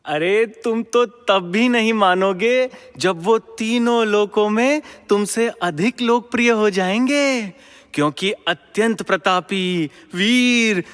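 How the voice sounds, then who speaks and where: A wandering sage of the gods in a Hindi mythological audio drama. His voice is high, clear and smooth, drawn out into a theatrical sing-song that needles as it chants, all in a long hall reverb. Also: fairly bright tone. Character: celestial wandering sage, teasing messenger of the gods.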